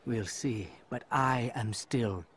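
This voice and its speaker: An actor playing a smug, scornful assassin informant in a video game, with a taunting, condescending manner. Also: male voice, medium pitch, takes it slowly, a bit bright. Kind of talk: video game cutscene acting.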